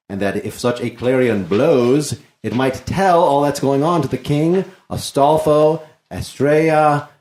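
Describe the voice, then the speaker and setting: A cheeky, florid servant acted by a man plays the complaining clown in a classical stage comedy. His mid-pitched voice swings up and down theatrically, and the room is audibly echoey. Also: a little mellow, natural voice. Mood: indignant, comic. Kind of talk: unrehearsed dramatic play reading of classical comedy.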